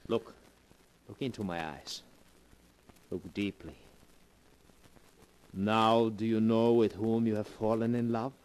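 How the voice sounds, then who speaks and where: An actor in a 1940s radio drama playing the devil, a suave mocking man who purrs his lines slowly and chuckles as he gloats. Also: steady tone, darkish tone, rough recording. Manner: slow, purring, gloating, with low chuckles.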